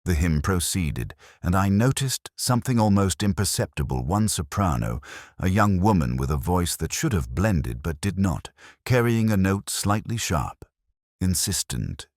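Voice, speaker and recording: A man reads scripted first-person detective fiction as narrator, in a measured, reflective, literary way. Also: sits low, very animated, a little mellow, no room sound, studio quality.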